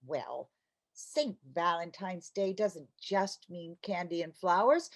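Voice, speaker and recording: A woman's voice: lowish voice, expressive, slow pace, full character voice. A woman playing a playful, mushy housewife in an old-time radio comedy, with a coaxing, theatrical delivery. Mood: wounded, romantic.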